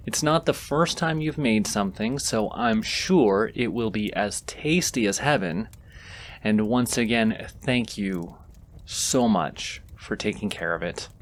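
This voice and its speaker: A man playing a gentle, easily flustered wizard in a comforting fantasy audio roleplay, tender, soft-spoken and intimate. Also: medium pitch. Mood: cheerful, grateful. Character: gentle, flustered male wizard comforting a companion he secretly adores.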